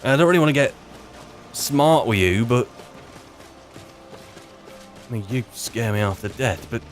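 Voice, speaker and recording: A man's voice: medium pitch, animated, measured pace, soft background music. A man improvising in character as he plays a fantasy game, with wry, hesitant muttered asides. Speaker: roleplay video maker and player.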